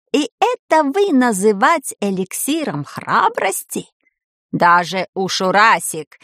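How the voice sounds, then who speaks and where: A man's voice: sits high, pushed and bright, no room sound, clean studio sound, exaggerated voice. A woman voicing a pompous, scornful male sorcery professor with a foreign accent and broken grammar, drawing out the words condescendingly. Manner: condescending, drawn-out, mocking.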